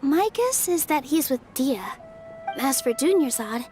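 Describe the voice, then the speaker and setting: Brief: a modest young dancer, high sweet voice, game music underneath. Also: female voice, animated, a little slow, darkish tone. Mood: friendly. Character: gentle young woman dancer, warm and modest. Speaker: performer hosting a feast. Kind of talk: video game voice acting. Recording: game audio with music behind.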